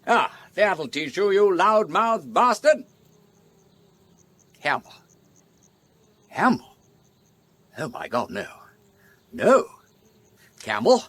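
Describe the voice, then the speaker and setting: An actor playing an eccentric, playful English aristocrat detective with an upper-class accent, whimsical, wordy and commanding in a radio drama. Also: male voice, high-pitched, sing-song, takes it slowly, pauses often, fairly bright tone, full character voice. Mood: mock fury.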